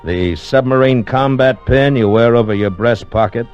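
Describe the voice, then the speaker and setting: A man's voice: mid-range voice, normal speed, darkish tone, soft background music, lightly acted. A man acting as a confident, easygoing navy narrator in an old-time radio docudrama, with a folksy, assured way of explaining things. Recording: dull lo-fi vintage radio recording.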